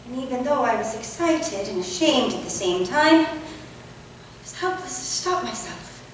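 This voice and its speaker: Lady playing a modest victorian vicar's wife, bit high voice, hesitant n wistful, echoey stage, far from mic. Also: lively intonation, moderate pace. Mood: confessional, troubled. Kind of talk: theatrical staged play reading. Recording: echoey hall from far off, faint noise.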